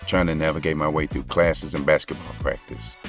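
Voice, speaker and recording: A very deep-voiced American young male basketball coach, lovestruck and full of regret. He talks straight to the listener in a slangy, intimate, confessional way, as in an audio drama, with music underneath. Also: fairly even intonation, a little fast, gravelly. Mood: wistful. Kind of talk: first-person audio drama narration, conversational.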